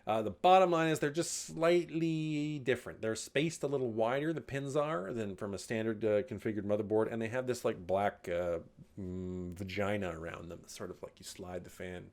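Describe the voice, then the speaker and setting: A man's voice: fairly low pitch, normal speed. Jokey man hosting a tech how-to. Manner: jokey, swears casually, lively bursts on tip headings. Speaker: tech video host. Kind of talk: casual instructional talk. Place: indoors with camera, showing parts in hand.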